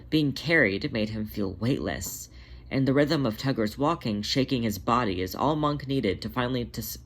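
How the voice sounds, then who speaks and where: A narrator reading scripted fiction in a dramatic, deliberate way, drawing out certain words. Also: mid-range voice, a bit bright, husky.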